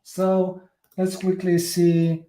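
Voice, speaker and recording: Slow, halting man with a Polish accent. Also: sits high, completely flat delivery, warm tone, reverberant. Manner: halting, many fillers and restarts. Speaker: tech presenter. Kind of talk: live coding talk.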